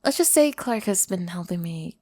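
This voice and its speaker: A woman's voice: on the low side, expressive, moderate pace, darkish tone, dry recording. A woman playing a sweet pop star, close and dry. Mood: friendly, coy. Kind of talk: scripted ASMR audio roleplay.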